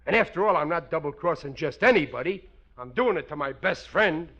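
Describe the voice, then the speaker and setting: An actor playing an excitable, blundering family man in a 1940s radio sitcom, with blustering, ungrammatical speech. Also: on the high side, a little fast. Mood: sheepish, scheming. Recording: noisy muffled 1940s radio recording.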